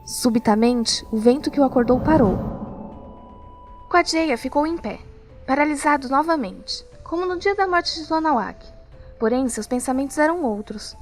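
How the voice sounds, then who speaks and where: A woman's voice: sits high, animated, moderate pace. A woman with a Brazilian accent narrating a scripted audio drama as storyteller. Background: music.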